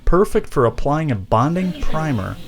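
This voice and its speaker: A man's voice: a little high, very animated, normal speed, a crowd in the background. A man giving scripted, mock-documentary narration in formal, elaborate wording for a DIY craft video. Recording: clear background noise.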